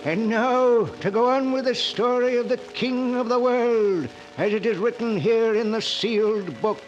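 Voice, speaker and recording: A man's voice: extremely high pitch, measured pace, a little hiss or hum. A man narrating a 1940s radio drama in a formal, solemn storytelling manner. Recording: dull lo-fi old radio recording.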